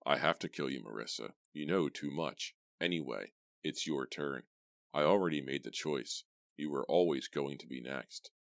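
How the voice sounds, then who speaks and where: A quiet, cold young killer, voiced by a male narrator, speaks softly and slowly in a storybook reading. The very deep voice sounds dry and close. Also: animated, creaky, dry recording, heavily acted. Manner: soft, slow, cold.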